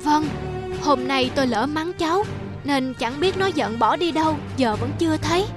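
A woman's voice: sits high, expressive, moderate pace, over music. A woman voicing an anxious, loving young village mother in a cartoon, sounding worried and fretful.

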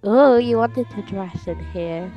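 A woman voicing a scheming, excitable older child in an improvised roleplay, talking in exclamations and conspiratorial rambles. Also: medium pitch, unhurried, highs cut off, natural voice. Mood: excited, sneaky. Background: music.

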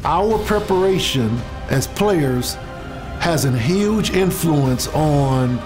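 A man's voice: fairly high pitch, animated, takes it slowly, music in the background. A man, a former football player, speaking reflectively in a documentary interview.

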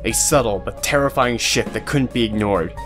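A man's voice: on the low side, moderate pace. Male audio drama narrator over music. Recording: music behind the voice.

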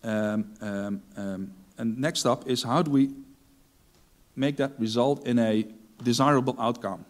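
A middle-aged man whose first language is Dutch gives a technical talk on a large conference stage, pausing often with ums and restarting sentences. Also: mid-range voice. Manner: hesitant, many ums and restarts. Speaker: conference speaker.

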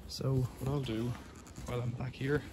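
A man's voice: mid-range voice, little pitch movement, moderate pace. A man from the UK walking back alleys outdoors, filming on a handheld phone and chatting in a rambling, matter-of-fact way. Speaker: vlogger. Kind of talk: casual walk-and-talk commentary. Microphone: handheld phone camera. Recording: loud outdoor noise behind voice.

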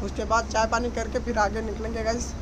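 A man's voice: sits high, level tone, brisk. A young man who drives trucks, chatting casually to his phone camera from the cab and the roadside, often saying "guys, yaar". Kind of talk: casual vlog narration to camera. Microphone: phone held at arm's length. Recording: noisy roadside phone recording. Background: vehicle and road noise.

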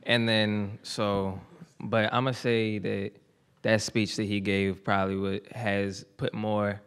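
Teen student poet introducing himself, low flat gravelly voice, halting. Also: male voice. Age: teens. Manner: halting, with restarts and fillers. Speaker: student spoken word performer. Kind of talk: casual spoken introduction. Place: stage of a lecture hall with an audience. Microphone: mic on a stand.

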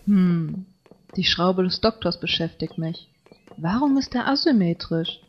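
A woman's voice: on the low side, animated, a little slow. A woman voicing an earnest, fussy teenage boy obsessed with order in a German fan-dubbed anime audio drama. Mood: pondering. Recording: dull lo-fi audio with music.

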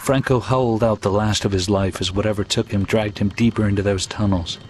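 A man playing a grim soldier narrating his war in measured first person for a video game. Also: fairly low pitch, fairly even intonation, close to an everyday voice. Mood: grim, brooding. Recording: loud noisy ambience behind the voice.

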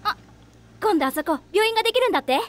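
A woman's voice: extremely high pitch, fast talker. A woman playing a caring, teasing teenage girl in an audio drama, speaking in a youthful, casual way. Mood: bright, teasing. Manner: youthful, casual, expressive schoolgirl speech. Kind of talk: Japanese audio drama acting.

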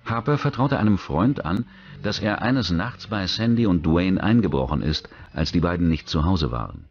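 A man's voice: low-pitched, lively intonation, normal speed, lo-fi sound. A man reading scripted true-crime documentary narration as the narrator.

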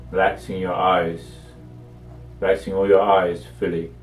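A man leading a guided meditation with measured, soothing instructions and long pauses, recorded echoey and distant from the microphone. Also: low-pitched, little pitch movement, soft background music.

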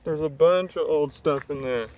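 A young man talking casually in a car vlog, outdoors at an old used car lot, getting excited about what he finds. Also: on the high side, normal speed, rough recording. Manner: excited, exclaiming. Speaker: car vlogger.

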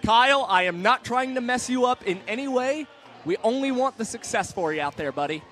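Brief: male color commentator, high voice, casual friendly booth banter. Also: expressive, fairly quick, a bit bright. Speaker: broadcast co-host. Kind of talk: pregame broadcast commentary.

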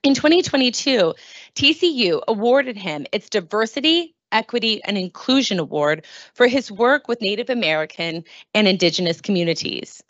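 A woman's voice: medium pitch, expressive, moderate pace, a bit bright, dry recording, lo-fi sound. Woman introducing a guest speaker. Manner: formal, welcoming, reads lists of names and awards. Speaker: event host introducing a speaker. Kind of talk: reading a prepared introduction. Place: library meeting room with large windows. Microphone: handheld microphone.

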